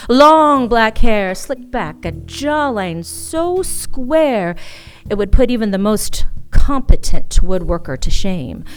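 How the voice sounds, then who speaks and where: A woman playing a wry, hardboiled female private eye in a noir radio play, with a sardonic, teasing delivery and dramatic pauses. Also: fairly high pitch. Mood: smitten, narrating. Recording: live radio play with music behind.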